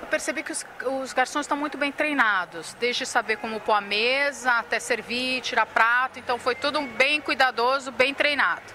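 Bright-voiced middle-aged Brazilian woman. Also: on the high side, normal speed, few pauses. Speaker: customer being interviewed. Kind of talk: informal interview. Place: large restaurant room. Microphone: handheld interview microphone. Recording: faint restaurant noise.

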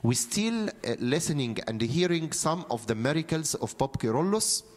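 A man in his fifties with an Egyptian accent, a native Arabic speaker, preaching as a priest in halting phrases with frequent pauses. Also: fairly high pitch, very animated. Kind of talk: sermon, storytelling from notes. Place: church interior, seated at a lectern. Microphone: handheld microphone held close.

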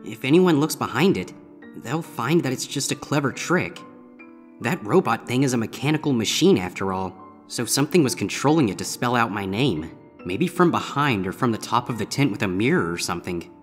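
A man's voice: mid-range voice, pitched above the performer's own voice, lightly acted. A man voicing a young male griffin student in a fan fiction reading, uneasy, hesitant and grumbling. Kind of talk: fan fiction audiobook character voice.

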